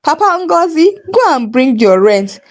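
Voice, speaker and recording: A woman's voice: high-pitched, big pitch swings, a little slow, voice pushed up. A woman with a Nigerian accent voicing a wicked, blustering, indignant landlady in a folktale. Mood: bossy. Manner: loud, indignant Pidgin exclamations.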